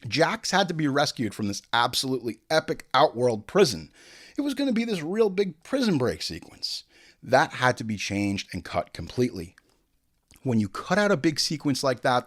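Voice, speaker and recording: A man hosts a comedic film commentary show with a very lively, swinging delivery. His voice sits at a middling pitch, and he talks irreverently, swearing freely and wandering off into tangents and mimicry. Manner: irreverent, sweary, digressive, mimics people in asides.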